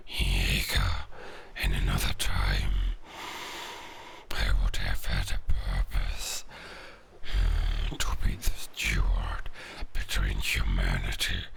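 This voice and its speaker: A man voicing a weary, melancholic dying werewolf in an audio drama, speaking slowly and solemnly in a gravelly, breathy growl. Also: rumbling low, bright, forceful tone, a little hiss or hum, full character voice. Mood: bitter, melancholic. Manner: slow, solemn, poetic. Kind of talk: slow dramatic audio roleplay monologue.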